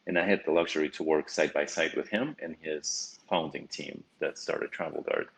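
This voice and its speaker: A middle-aged man from Russia, a company CEO answering interview questions in a measured way with small restarts. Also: rumbling low, creaky, husky, rough recording. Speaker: CEO guest.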